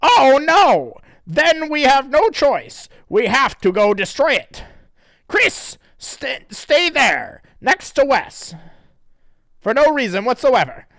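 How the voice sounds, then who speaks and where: A man voicing a bossy young girl heroine in a shrill, breathless falsetto. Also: very animated, slow, vocal fry, fairly bright tone, no echo, heavily acted. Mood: alarmed.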